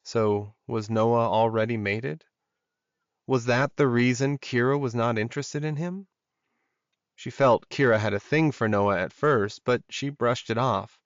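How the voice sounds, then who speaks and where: A man with a medium-pitched voice narrates fiction in audiobook style. The reading is scripted, and the recording is very dry and close, with a slightly muffled top end. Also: dry recording.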